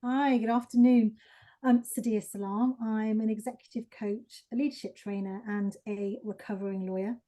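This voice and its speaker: A woman's voice: mid-range voice, fairly even intonation, normal speed, dark tone. A woman executive coach introducing herself fluently and upbeat on a video-call panel.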